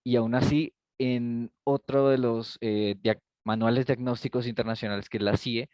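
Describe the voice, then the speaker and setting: A man explaining his point in a thoughtful, halting way as an attendee on a video call. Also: medium pitch, flat delivery, a little mellow, dry recording, highs cut off. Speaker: online event attendee. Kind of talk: discussion comment in a video call.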